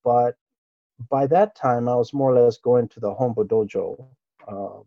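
A man's voice: mid-range voice, measured pace, creaky, no echo, dull audio. A middle-aged man from Okinawa whose first language was Japanese, recalling his childhood as an interview guest in an easygoing, hesitant way with lots of fillers. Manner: easygoing, hesitant, with frequent fillers and soft laughs. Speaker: interview guest, martial artist. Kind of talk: relaxed reminiscing interview talk.

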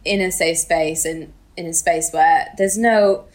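A woman's voice: lowish voice, steady tone, measured pace. British woman, informal podcast chat. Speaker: podcast co-host.

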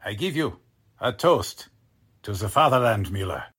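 Haughty officer, sweeping delivery. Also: male voice, lowish voice, very animated, a bit bright, slightly rough, heavily acted. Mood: smooth, formal. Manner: imperious, theatrical, deliberate. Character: haughty, scheming German military officer and Nazi spymaster. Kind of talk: 1940s radio drama acting.

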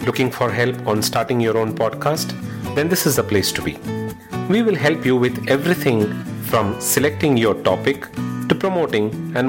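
A man presenting a scripted solo podcast as its host, speaking in an instructional way directly to listeners. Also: medium pitch.

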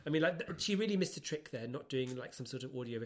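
A man's voice: mid-range voice, expressive, fast. A man co-hosting a podcast in casual comic chat, giggly and teasing as he talks. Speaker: podcast co-host.

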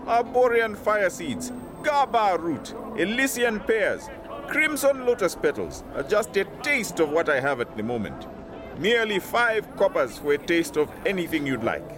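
A man playing a gentle, confident old elephant-headed merchant with courtly, flowery sales talk in a fantasy audio drama. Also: high voice, very animated, moderate pace. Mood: genteel, helpful.

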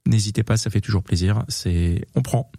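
A man hosting a chat podcast, wrapping up the episode with quick thanks and plugs. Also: fairly low pitch, creaky, warm tone, dry recording, studio quality. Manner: quick, friendly sign-off, plugs social media. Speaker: podcast host. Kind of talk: casual chat, show outro.

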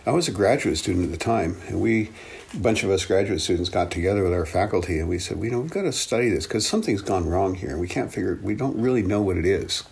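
A man's voice: low voice, expressive, fast talker, vocal fry, a little mellow, husky. A man in his seventies from the Congo, a native speaker of English and Swahili, reflecting on his life in an earnest, thoughtful interview style. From Belgian Congo. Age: 70s. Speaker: interview guest, African studies professor. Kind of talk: reflective personal storytelling.

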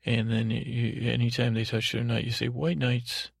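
A man's voice: medium pitch, completely flat delivery, normal speed, creaky, husky, no echo, clean studio sound. A man hosting a sleep podcast, rambling in a soothing, lulling tone with a hesitant, digressive, self-deprecating manner. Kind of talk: rambling, meandering bedtime monologue.

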